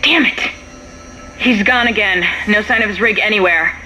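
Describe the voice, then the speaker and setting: An actress playing a stressed, resourceful crew member in a video game, giving urgent, businesslike instructions over a radio link. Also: female voice, medium pitch, normal speed, sharp tone, slight background noise, low-quality recording. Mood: urgent, determined.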